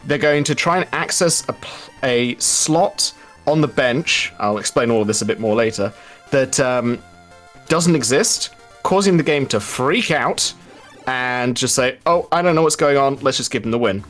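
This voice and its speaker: A man's voice: medium pitch, expressive, normal speed, a bit bright, faint music behind. A man giving casual, explanatory live speedrun commentary in a chatty, wry, informal way.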